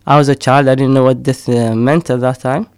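Man, middle-aged, gravelly, dry close sound, hesitant with fillers. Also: medium pitch, little pitch movement, a little fast, no echo. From Somaliland. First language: Somali. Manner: hesitant, many fillers, frequent laughs. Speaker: poet and storyteller. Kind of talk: casual interview talk.

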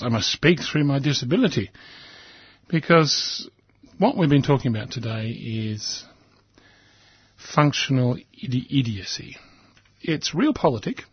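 Croaky Australian man, talk radio host. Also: medium pitch, dry recording, muffled recording. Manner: hesitant, many ums, repeats words. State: hoarse, croaky voice. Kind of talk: casual talk radio commentary.

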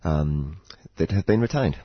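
An Australian man, croaky from hay fever, chatting on a radio garden show with lots of restarts and chuckles. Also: very deep voice, animated, unhurried, dry recording, lo-fi sound. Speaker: horticulturalist radio guest. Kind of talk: casual radio chat.

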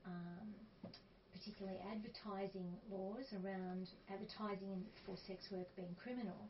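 A woman with an Australian accent and a soft voice, co-hosting a community radio talk show in the studio and chatting loosely with restarts and fillers. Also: mid-range voice, highs cut off, background noise. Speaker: radio co-host.

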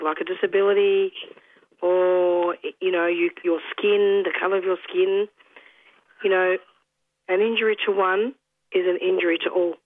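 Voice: medium pitch, phone-quality sound. A radio host running an interview, speaking casually with loose phrasing and many fillers.

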